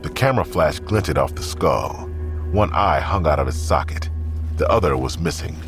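A man narrating an audio drama in a measured, scripted storytelling style. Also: rumbling low, lively intonation, soft background music.

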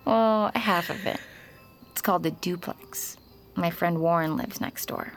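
An actress playing a tender, reassuring mother talking gently to her small child in a naturalistic audio drama. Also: fairly low pitch, measured pace, soft background music. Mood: gentle, reassuring.

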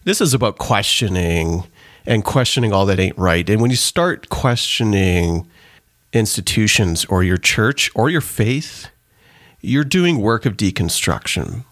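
A man's voice: fairly low pitch, expressive, unhurried, a bit bright, no room sound, clean studio sound. A man from Trinidad, an author and pastor, speaking earnestly as an interview guest and explaining his ideas at length. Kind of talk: interview conversation.

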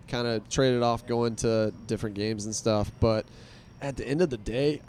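A man's voice: mid-range voice, darkish tone, a little hiss or hum. A man appearing as a guest on a sports podcast, easygoing and open. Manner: easygoing, laughs often. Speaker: guest fan. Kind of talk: casual sports podcast chat.

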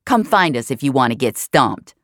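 A woman's voice: a bass voice, big pitch swings, moderate pace, a bit bright, no echo, clean studio sound, full character voice. A woman voicing a brash, defensive teenage boy gamer who taunts and swears in an audio story. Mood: scornful, taunting.

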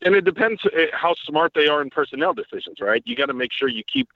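Man, casual podcast guest, analytical and quick, thin phone-like sound, dry. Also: on the high side, sing-song, no room sound. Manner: fast-talking, analytical, swears casually. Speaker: podcast guest, team site writer. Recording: tinny dry phone-line audio.

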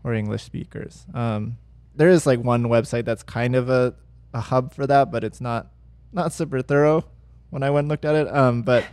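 A man's voice: medium pitch, fairly even intonation, moderate pace, darkish tone, dry recording. A man co-hosting a casual chat, rambling with many fillers and restarts. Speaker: podcast co-host.

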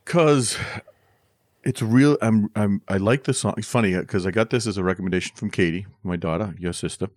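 A man's voice: fairly low pitch, fairly quick, a little mellow, dry recording, clean studio sound. A middle-aged man co-hosting a music podcast with his son, chatting in a rambling, friendly way with lots of restarts. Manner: chatty, rambling with restarts, corny dad jokes. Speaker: podcast co-host. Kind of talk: casual podcast chat.

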